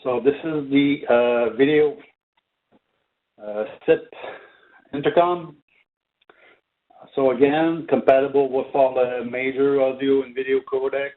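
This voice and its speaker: A man giving an unscripted technical product presentation, speaking haltingly with very frequent filled pauses. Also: mid-range voice, fairly even intonation. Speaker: product trainer presenting for a company. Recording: narrow, tinny phone-like line.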